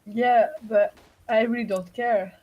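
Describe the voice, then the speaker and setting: A woman's voice: fairly high pitch, fairly even intonation, slow, muffled recording. A woman co-casting an esports match in casual live commentary, with blunt, teasing banter.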